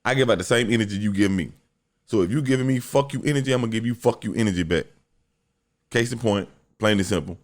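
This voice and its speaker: A young man hosting a solo podcast, talking bluntly and profanely in a deep voice, telling a story with lots of animation. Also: fast talker. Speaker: podcast host.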